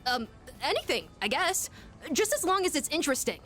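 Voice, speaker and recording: Polite excited little kid boy, american, super high bouncy voice, video game with music. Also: brisk. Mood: curious. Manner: excitable, earnest. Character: eager, polite young boy, easily excited.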